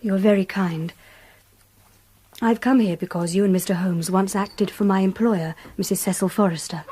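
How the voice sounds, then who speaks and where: A woman's voice: mid-range voice, fairly even intonation, moderate pace, mellow, slightly rough, lightly acted. A woman acting a reserved, well-bred young Victorian governess with an English accent, composed and precise, in a radio drama. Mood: polite, reserved.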